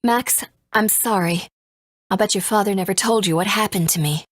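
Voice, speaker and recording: A woman's voice: mid-range voice, moderate pace, no room sound, clean studio sound, lightly acted. Actress as a grave, tender warrior mother. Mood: tender, regretful. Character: grave, tender mother leading rebel fighters. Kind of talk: English video game voice acting.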